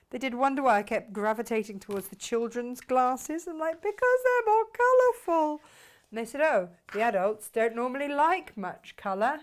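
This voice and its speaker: A woman hosting a sewing tutorial, her voice a little croaky from hay fever, chatting casually to viewers while she cuts fabric. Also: on the high side. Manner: chatty, self-deprecating, talks to viewers while working. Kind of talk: casual craft demonstration.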